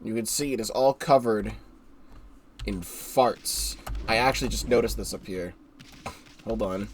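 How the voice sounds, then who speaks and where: A man's voice: lowish voice, measured pace, a little hiss or hum. Congested young man streaming a game. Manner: rambling, self-correcting, swears casually. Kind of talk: casual live gameplay commentary.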